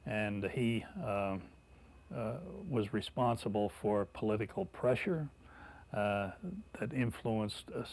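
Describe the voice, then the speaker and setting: An older man with a somewhat low voice gives an interview recollection. He speaks deliberately and haltingly, often pausing to find the next phrase. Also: a little mellow. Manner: halting, deliberate, with frequent hesitations.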